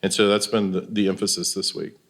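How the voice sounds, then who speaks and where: A man's voice: sits low, level tone, a little fast. An American man talking conversationally as a panelist and consultant. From Louisiana, US.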